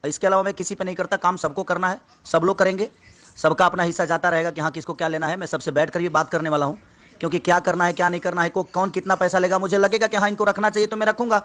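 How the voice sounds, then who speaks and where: A man's voice: high voice, flat delivery, fast talker, a bit bright. A man talking business in a firm, insistent way, repeating his points with emphasis. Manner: repetitive, emphatic. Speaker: singer on a recorded business call.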